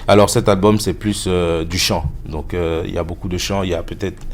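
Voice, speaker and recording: A man in his thirties answers interview questions about his music in a very deep, flat voice. He speaks haltingly and often stops to search for words. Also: slight background noise. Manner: hesitant, many fillers. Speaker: musician guest.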